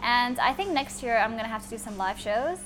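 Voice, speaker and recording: A woman's voice: on the high side, lively intonation, a little fast, fairly bright tone, soft background music, rough recording. A young woman whose first language is Thai, a singer being interviewed, chatting in a bubbly way with many fillers. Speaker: guest singer. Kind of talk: interview answers.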